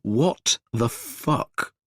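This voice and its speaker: A man voicing a frantic grown man who shouts in a raised, higher voice. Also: lively intonation, very slow, no room sound, studio quality, full character voice. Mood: agitated. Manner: loud and agitated.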